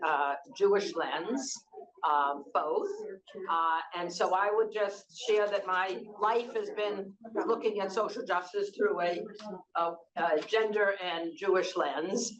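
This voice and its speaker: A woman's voice: on the low side, poor audio. A woman in her sixties with a Boston accent, moderating a conference panel in a loose, conversational way with frequent fillers and restarts. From Boston, United States.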